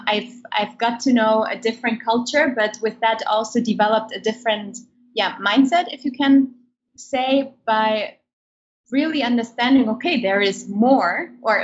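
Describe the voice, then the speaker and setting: A woman's voice: on the high side, moderate pace, muffled recording. A woman who grew up in Germany, a podcast guest explaining her project with frequent hesitant ums. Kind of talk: interview conversation.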